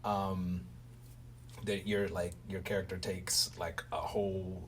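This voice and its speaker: A man's voice: sits low, level tone, slow, recorded from a distance, a little hiss or hum. An American man from Baltimore interviewing in a casual, reflective, rambling way with plenty of slang. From Baltimore, United States. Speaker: interviewer, radio play writer.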